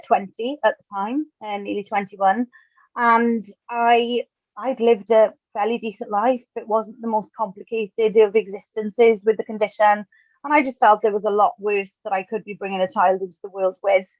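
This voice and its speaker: A woman's voice: mid-range voice, no echo, lo-fi sound. A Welsh woman in her thirties, telling her own story in a chatty, self-deprecating way at an online event, with light asides. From Wales. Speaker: webinar speaker. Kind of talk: personal talk at an online event.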